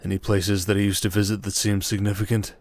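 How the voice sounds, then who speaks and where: A man playing a stern, protective merchant lord in a roleplay audio drama, speaking in a dry, measured, commanding way. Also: lowish voice, little pitch movement, darkish tone, no echo, lightly acted. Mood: intent, dry.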